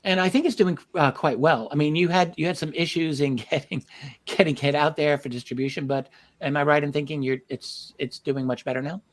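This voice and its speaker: A man hosting a conversational interview, with halting, measured phrasing. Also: a little high, fairly even intonation. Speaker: talk show host, interviewer.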